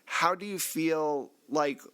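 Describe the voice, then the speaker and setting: A man's voice: on the high side, takes it slowly, pushed and bright, clean tone. Man hosting a casual podcast chat. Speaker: host and game master.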